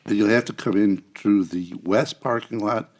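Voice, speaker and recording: Older church guy guest, kinda low voice, just chatting. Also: moderate pace, a little mellow. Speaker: church seniors group member, guest. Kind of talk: casual chat.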